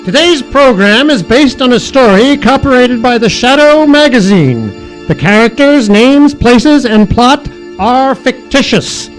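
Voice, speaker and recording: A man's voice: very high voice, expressive, a little slow, low-quality recording. A man announcing an old-time radio show with theatrical, effusive delivery. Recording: music behind, live stage performance.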